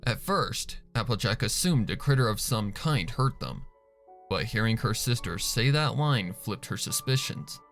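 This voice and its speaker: A man's voice: lowish voice, animated, a little slow, gravelly, fairly bright tone, soft background music. A man reading a dramatic scripted story as its narrator. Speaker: fan fiction narrator.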